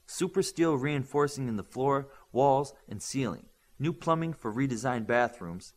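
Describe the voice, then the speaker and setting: Man narrating an audiobook with lively pitch. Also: unhurried. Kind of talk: scripted story narration.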